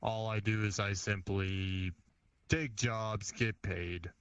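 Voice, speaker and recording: Male roleplayer, low voice, unhurried, terse indifferent mercenary, dull lo-fi mic. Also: steady tone, a bit bright, clear, resonant tone, no room sound. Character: blunt, indifferent nameless mercenary. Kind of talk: in-character improvised roleplay.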